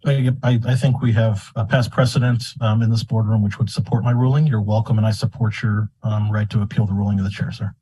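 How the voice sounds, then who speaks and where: Fast, low, mellow-voiced man chairing a meeting. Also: fairly even intonation, breathy edge, low-quality recording. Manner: polite, firm, procedural. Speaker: school board president chairing meeting. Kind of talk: formal procedural meeting talk.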